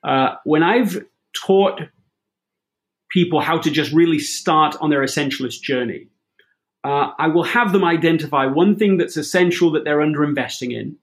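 A man hosting a conversational interview, reflecting on his guest's points and explaining his own coaching approach. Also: on the high side. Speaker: podcast host and coach.

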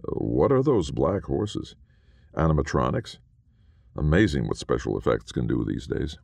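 A man's voice: very deep voice, big pitch swings, a little slow, gravelly, darkish tone, no echo, studio quality, heavily acted. A man voicing a weary, hesitant man who talks mildly and with uncertainty. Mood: puzzled. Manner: hesitant, mild.

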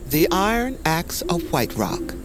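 A man narrating a fantasy tale in a solemn, deliberate, dramatic storytelling style. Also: fairly high pitch, sing-song, a crowd in the background. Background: prominent music.

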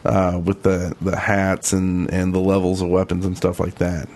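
A man with a fairly low voice speaks as a podcast co-host. His delivery is casual and conversational, whether he is chatting or reading a listener's email aloud. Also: completely flat delivery, moderate pace, darkish tone.

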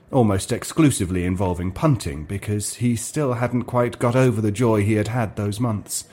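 A man narrating a scripted comic fantasy story in a dry, deliberate way. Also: mid-range voice, a little mellow.

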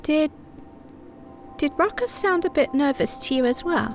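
A woman playing an earnest, caring young counsellor in training in a fantasy audio drama, speaking with gentle hesitant concern. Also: sits high, animated, unhurried. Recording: music behind the voice.

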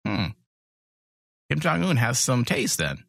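A man's voice: medium pitch, big pitch swings, takes it slowly, gravelly, a bit bright, no echo. Casual, emphatic male podcast co-host, dry sound. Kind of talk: casual fan podcast chat.

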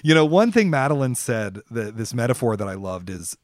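A man's voice: mid-range voice, big pitch swings, a little fast, no echo, studio quality. A man co-hosting a podcast, talking casually and emphatically about creative work, with informal and sometimes salty language. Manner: emphatic, informal, mildly profane. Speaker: podcast co-host and writer.

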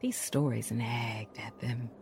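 A woman playing a solemn, wistful fairytale storyteller in an audio drama, narrating theatrically in a hushed, breathy voice. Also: rumbling low, fairly even intonation, takes it slowly, a little hiss or hum, full character voice. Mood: hushed, ominous.

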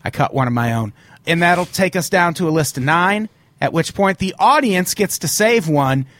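A slightly hoarse man hosting a podcast, a touch higher-pitched than most men. He talks casually, swears freely and runs through the rules at a brisk clip. The sound is close and dry. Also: expressive, a bit bright, no room sound. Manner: swears often, explains rules quickly. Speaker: podcast host. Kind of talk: casual chat, live-streamed podcast.

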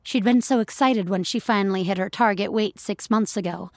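A woman's voice: mid-range voice, fairly quick, dry recording, studio quality. A woman narrating scripted audio fiction in the third person.

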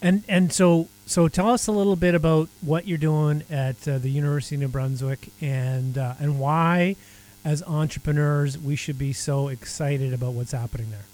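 A man's voice: a little high, fairly even intonation, moderate pace, darkish tone, poor audio. A man co-hosting a casual interview, chatty and loose, with laughing asides. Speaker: podcast co-host.

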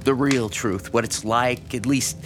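A man's voice: medium pitch, unhurried, over music, lightly acted. A man playing a lonely, starstruck small-town fan in an audio drama, speaking hesitantly and deferentially. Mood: earnest, admiring. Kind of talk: naturalistic audio drama dialogue.

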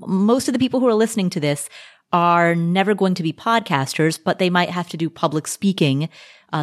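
A woman hosting a podcast, chatting casually, engaged and agreeable, and lively when she quotes someone. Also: on the low side. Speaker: podcast host. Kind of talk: casual conversational chat.

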